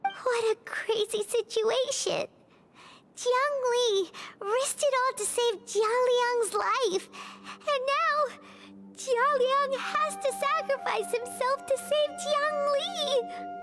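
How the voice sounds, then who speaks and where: A woman voicing a small, squeaky, childlike female game companion with an earnest, emotional manner. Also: takes it slowly, exaggerated voice. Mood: distressed. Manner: emotional, exclamatory. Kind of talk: video game voice acting. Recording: game music behind the voice.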